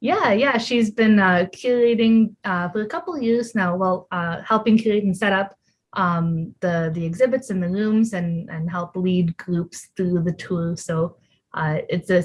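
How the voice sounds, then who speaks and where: A woman's voice: medium pitch, measured pace. A young woman, a PhD student being interviewed over a video call, answering in a friendly, thoughtful way with many fillers. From Ontario, Canada. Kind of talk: casual interview.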